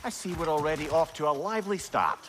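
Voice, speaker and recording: An actor playing a wry, wise older Scottish companion in a video game, droll and wordy. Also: male voice, on the high side, expressive, quick pace, background noise, close to an everyday voice.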